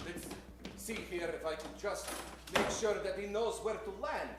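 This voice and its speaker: A woman in improvised radio comedy, playing an earnest, boastful male Russian scientist with halting, florid delivery. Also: mid-range voice, loud music behind the voice, natural voice. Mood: eager, welcoming. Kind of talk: improvised old-time radio comedy acting. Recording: very echoey stage.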